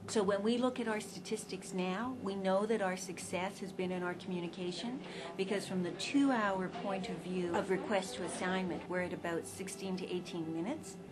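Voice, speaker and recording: A woman's voice: medium pitch, steady tone, moderate pace, noisy background. A woman in hospital management giving an explanatory testimonial interview. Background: music.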